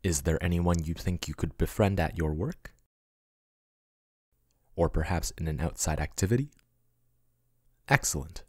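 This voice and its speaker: A man in an ASMR boyfriend roleplay, voicing a male AI chatbot who talks softly and intimately, growing possessive. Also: sits low, a little slow, long pauses, breathy edge, dry recording, clean studio sound. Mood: gentle calm. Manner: soft, intimate, doting, turning possessive. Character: male AI chatbot, soothing then possessive yandere.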